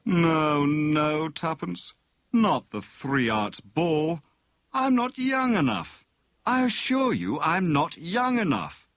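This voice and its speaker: A man's voice: on the high side, sing-song, slow pace, fairly bright tone, no room sound, pitched above the performer's own voice, exaggerated voice. A man voicing a jovial, pompous husband who plays amateur detective in a teasing, mock-grand manner for an audiobook. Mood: weary protest.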